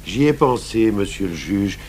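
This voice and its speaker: Thoughtful stubborn police commissioner in a radio play, low voice that moves around a lot, hesitant, hummy old tape. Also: male voice, lively intonation, darkish tone. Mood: measured, persuasive. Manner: hesitant, deliberate, pausing mid-thought. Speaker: actor playing a police commissioner. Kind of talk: French radio crime drama acting. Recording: noisy 1980s radio recording with hum.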